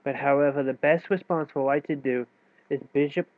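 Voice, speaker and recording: Male chess tutor with a head cold, nasal and a bit high, relaxed explaining manner, dry phone-like sound. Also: fairly quick, no echo. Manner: loose, self-correcting explanation with fillers. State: stuffy with a cold. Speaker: chess teacher. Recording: tinny, dry phone-like recording.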